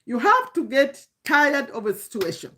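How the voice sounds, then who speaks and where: Higher-pitched Ugandan woman coaching informally. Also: sing-song, normal speed, bright, forceful tone. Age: 50s. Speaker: online business coach. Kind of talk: informal motivational talk to camera.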